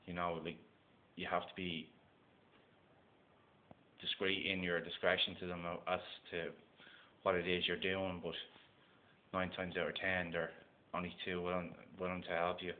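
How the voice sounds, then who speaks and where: Dublin Irish man, very deep voice, halting and full of fillers, tinny phone recording. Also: monotone, a little hiss or hum. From Dublin, Ireland. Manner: halting, many fillers and restarts. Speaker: gold prospecting vlogger. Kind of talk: casual instructional talk to camera. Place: indoors at a table. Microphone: phone camera.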